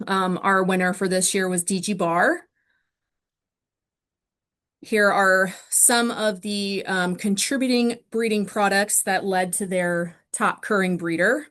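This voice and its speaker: A woman's voice: mid-range voice, slow. Woman reading out award winners on a call. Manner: steady listing of names with frequent ums. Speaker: awards ceremony presenter. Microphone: video call.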